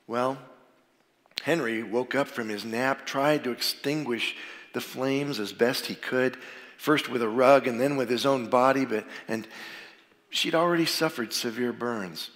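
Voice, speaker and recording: Male pastor, slightly froggy from a cold, plainspoken sermon, average pitch, long church-hall reverb. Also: a little slow, fairly bright tone. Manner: informal, plainspoken, with frequent fillers. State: getting over a cold, a little froggy. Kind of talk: sermon with prayer. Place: church sanctuary.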